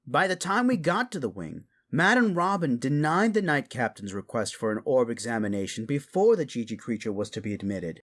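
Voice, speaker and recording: An actor playing an earnest officer recounting events in an audio drama. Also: male voice, medium pitch, sing-song, fairly quick, few pauses, a bit bright. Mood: reporting.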